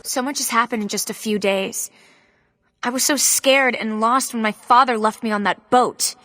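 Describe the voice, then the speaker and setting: Young novice heroine, earnest, plain-spoken, fairly high voice, dry sound, game cutscene. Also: female voice, steady tone, normal speed, bright, forceful tone, dry recording. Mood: wistful, unsure. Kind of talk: video game cutscene acting.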